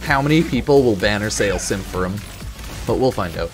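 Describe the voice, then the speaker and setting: A man gives casual, excited reaction commentary in a fairly low voice that moves around a lot. His talk is slangy and full of exclamations. Game music plays faintly behind him. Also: fairly quick. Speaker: reaction video host. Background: game trailer music and sound.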